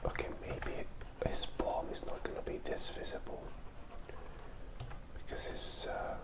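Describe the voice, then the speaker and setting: An ASMR host from Hungary whispering unhurried, hesitant drawing commentary in a breathy, unvoiced voice, musing aloud. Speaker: host, illustrator. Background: pen and tablet writing sounds.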